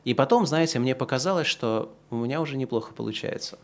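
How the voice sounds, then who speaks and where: A man preaches in a middle-range voice, and he draws out his key phrases slowly and loudly. Between those high points he drops into a softer, chatty tone and talks straight to his listeners. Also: animated, creaky. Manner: swings from slow, loud emphatic preaching to quieter conversational asides. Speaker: pastor. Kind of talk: sermon.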